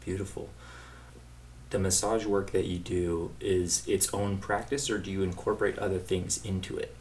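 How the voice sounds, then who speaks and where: Very deep-voiced man hosting. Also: little pitch movement, moderate pace, a little mellow, slight background noise. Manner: easygoing, hesitant, filler-heavy. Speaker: podcast host. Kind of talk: casual interview chat.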